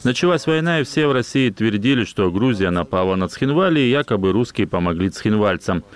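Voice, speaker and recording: A man reading a translated news voice-over in a measured, even way. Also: on the low side, clear, resonant tone.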